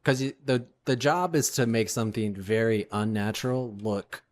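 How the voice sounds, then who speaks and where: A man hosting a casual interview, asking questions in a relaxed, curious way and giving short acknowledgements. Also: lowish voice, moderate pace. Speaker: podcast host.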